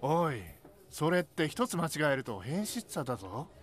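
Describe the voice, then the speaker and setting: A man's voice: a little high, big pitch swings, normal speed. A man playing a wry, brusque middle-aged bachelor friend in Japanese voice drama dialogue. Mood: wry, teasing. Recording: clear background pub ambience noise.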